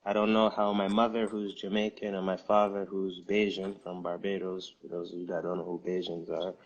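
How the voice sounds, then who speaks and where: A man with a lowish voice talks through his story in an unhurried interview. He pauses often, restarts his sentences, and fills the gaps with "um" and "you know.". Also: little pitch movement, lo-fi sound. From United States. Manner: unhurried, hesitant with many fillers and restarts. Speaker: guest, music distribution co-founder. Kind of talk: casual interview answers.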